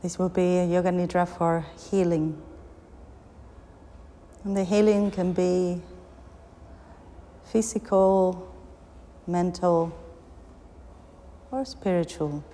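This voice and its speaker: A woman guiding a yoga nidra meditation, giving soothing, unhurried instructions with long pauses between short phrases. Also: lowish voice, dark tone. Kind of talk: guided meditation. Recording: long reverberant hall, background noise.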